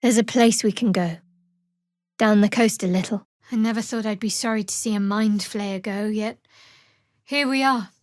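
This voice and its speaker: An actress playing a guarded, sardonic young cleric of a dark goddess in a fantasy game, with a dry, wry delivery. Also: female voice, medium pitch, fairly even intonation, moderate pace, dry recording, close to an everyday voice. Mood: composed, solemn.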